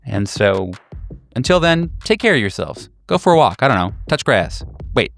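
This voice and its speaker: A man's voice: medium pitch, very animated, normal speed, gravelly, a bit bright, soft background music. A man hosting a tabletop roleplaying podcast, speaking casually to the audience. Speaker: podcast host and game master. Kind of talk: casual sign-off talk.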